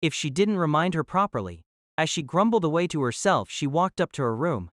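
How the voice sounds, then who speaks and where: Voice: medium pitch, expressive, a little fast, fairly bright tone, no room sound, studio quality. A narrator reading scripted light-novel story prose for an audio drama.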